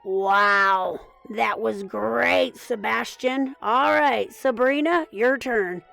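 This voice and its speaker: Woman playing a bossy snake leader, loud, dismissive, soft music behind. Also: a little high, extremely slow, creaky, full character voice.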